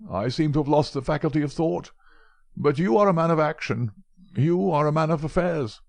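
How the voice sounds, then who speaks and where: A man's voice: fairly high pitch, moderate pace, vocal fry, a little mellow, no room sound, pitched above the performer's own voice. A man voicing a frail, fussy old male professor who speaks in a precise, mincing way. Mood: anxious, flattering.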